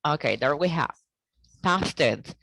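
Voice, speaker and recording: A woman teaching an English grammar lesson over a video call, explaining slowly and checking in with "okay?" often. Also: low voice, animated, gravelly, fairly bright tone, slight background noise. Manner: slow, deliberate explaining with frequent "okay?" checks.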